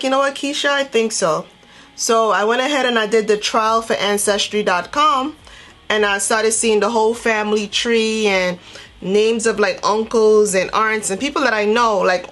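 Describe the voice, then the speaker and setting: A woman's voice: fairly high pitch, fairly bright tone. Chatty woman vlogger telling a story. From Trinidad and Tobago. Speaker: beauty vlogger. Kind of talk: casual chatty storytelling while doing makeup.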